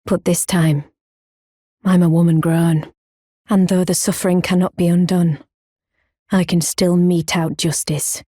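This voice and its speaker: An actress voicing a proud, earnest warrior woman in a video game, speaking in a solemn, measured, formal old-fashioned way. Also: on the low side, dark tone, husky, no echo, clean studio sound. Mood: grim, righteous. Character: proud, earnest woman warrior, grown, stoic and honour-bound. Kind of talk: video game character acting, formal archaic speech.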